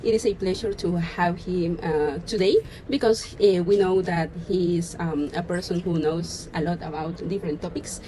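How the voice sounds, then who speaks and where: A woman's voice: lowish voice, steady tone, normal speed, few pauses, warm tone, slight background noise, poor audio. A woman host giving a hesitant opening introduction, with many fillers.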